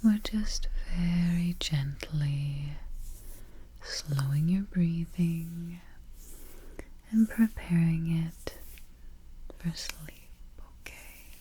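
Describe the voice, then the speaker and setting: Soft asmr lady whispering super slow, mellow n soothing, close mic w a bit of background noise. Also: fairly low pitch, rough recording. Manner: slow, gentle, soothing, repeats soft check-ins. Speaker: ASMR host. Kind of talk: ASMR guided sleep relaxation. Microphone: close ASMR microphone.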